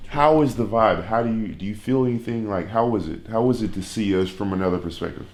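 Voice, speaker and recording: A man's voice: fairly low pitch, fast talker. A man hosting a casual interview, talking in an informal, slangy and profane way. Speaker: host interviewing musicians. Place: living room of a villa. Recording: echoey room.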